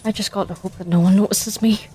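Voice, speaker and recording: A woman voicing a shaken commander in an audio drama, halting and uneasy. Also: mid-range voice, fairly even intonation, music in the background, natural voice.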